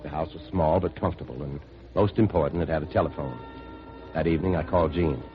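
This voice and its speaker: A man's voice: extremely low pitch, fast talker, soft background music. An actor playing a troubled man investigating and narrating his own story in a 1950s radio drama. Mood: measured narration. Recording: dull lo-fi vintage 1950s radio recording.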